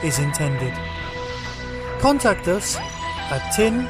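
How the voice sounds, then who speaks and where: Male outro announcer, high-pitched for a man, very animated intonation, scripted read with prominent music underneath. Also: slow. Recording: loud music behind the voice. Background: loud music.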